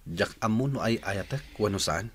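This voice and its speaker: A man's voice: on the low side, lively intonation, normal speed, slight background noise, close to an everyday voice. A man with a Filipino accent acting a reticent young man torn about love in a radio drama. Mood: troubled.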